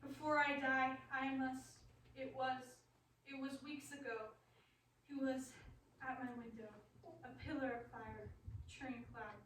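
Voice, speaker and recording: A woman playing a battered, dying madman in a radio drama, haunted and rambling in halting, obsessive repetitions. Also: sits high, fairly bright tone. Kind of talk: stage-style radio drama acting. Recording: echoey room, distant mic, faint noise.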